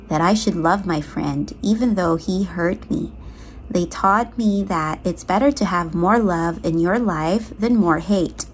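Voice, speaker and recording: Slow-paced woman reading a children's story. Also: medium pitch, slight background noise. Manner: expressive, acts out the dialogue. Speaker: storybook reader. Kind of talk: read-aloud children's story narration.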